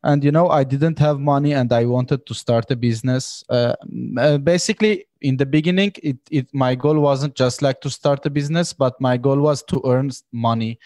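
A man's voice: medium pitch, moderate pace, few pauses, a little mellow, no echo. Earnest young man with a dry sound. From Syria. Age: 20s. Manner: earnest, many fillers like "you know". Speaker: podcast guest. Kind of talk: casual conversation.

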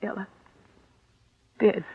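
A woman's voice: slow pace, no echo, narrow, tinny audio, lightly acted. An actress playing an earnest, anxious young woman with an intense manner, in a 1940s radio drama style. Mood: stunned. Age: young adult. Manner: intense, pleading, repeats phrases urgently. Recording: dry, band-limited 1940s radio recording.

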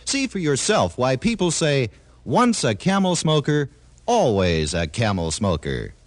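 A man's voice: medium pitch, very animated, measured pace. A man announcing in a scripted 1940s radio commercial style.

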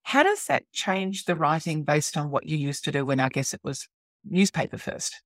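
Casting: middle-aged woman podcast host, low register, chatty, appreciative interviewer, recorded dry. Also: big pitch swings, normal speed, no echo. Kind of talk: conversational interviewing.

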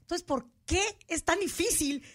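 A woman's voice: extremely high pitch, moderate pace, a bit bright. A woman, hosting a podcast in casual chat and mixing in English words. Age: 40s. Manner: chatty, mixes in English words. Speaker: podcast host.

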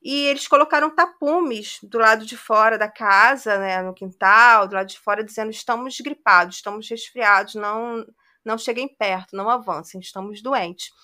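A woman's voice: a little high, moderate pace, sharp tone. A woman hosting a podcast, recounting a true-crime case in a casual conversational way. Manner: conversational retelling with frequent "né". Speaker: podcast host.

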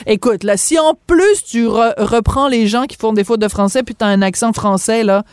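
A woman's voice: on the high side, normal speed, a little mellow, clean tone, no room sound. A woman radio host with a French accent, arguing her point with emphatic rhetorical questions. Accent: French from France. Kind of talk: opinionated radio debate.

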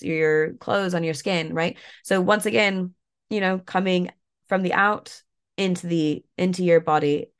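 A woman guest, a yoga and mindfulness coach, talking in a relaxed way with lots of fillers while stuffed up and a bit croaky. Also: on the low side. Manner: relaxed, many fillers like "like" and "you know". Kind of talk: casual interview talk, guiding an exercise.

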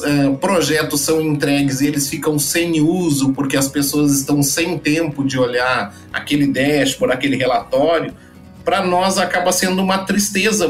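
A higher-pitched man, talking as a podcast guest. He explains at length, stopping to restart phrases and filling gaps with soft hesitations, while faint music plays underneath. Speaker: guest, data company founder. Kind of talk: podcast interview answers.

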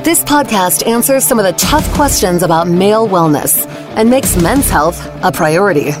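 A woman's voice: medium pitch, animated, normal speed. Polished woman announcer over music. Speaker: show announcer. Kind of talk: scripted promo voiceover. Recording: voice over clear music bed.

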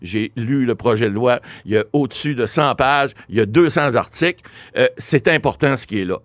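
A man with a Québécois French accent, a lawyer commenting on a talk show, animated and often restarting his words. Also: medium pitch, brisk, no room sound.

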